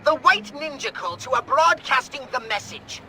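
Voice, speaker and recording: A man's voice: very high-pitched, animated, moderate pace, heavy background noise. An actor playing a fussy, imperious alien commander in a video game, prim and theatrical as he gives orders. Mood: officious, urgent. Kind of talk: video game cutscene acting.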